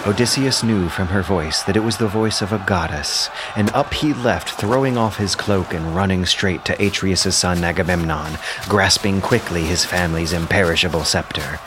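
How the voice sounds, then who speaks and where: Casting: male narrator, lowish voice, solemn scripted delivery for an epic audio drama, clear background noise underneath. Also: normal speed, hardly any pauses.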